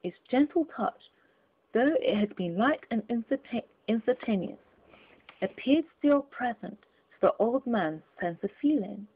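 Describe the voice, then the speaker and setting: A woman reading classic literature aloud as an audiobook narrator, in an expressive, deliberate storytelling manner. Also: on the high side, husky, phone-quality sound. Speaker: audiobook reader.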